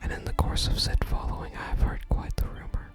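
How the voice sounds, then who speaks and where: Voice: brisk, faint music behind, close to an everyday voice. An ASMR gamer voicing a wistful wandering male bard from a fantasy game, whispering his florid, archaic lines. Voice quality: unvoiced whisper. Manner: archaic, theatrical phrasing.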